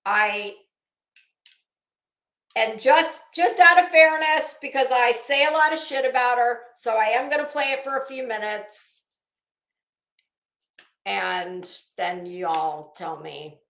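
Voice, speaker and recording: Female commentary host, sarcastic and sweary, unhurried delivery, casual, recorded in an echoey room. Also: fairly high pitch, a bit bright. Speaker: online show host. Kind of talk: casual commentary on another online personality.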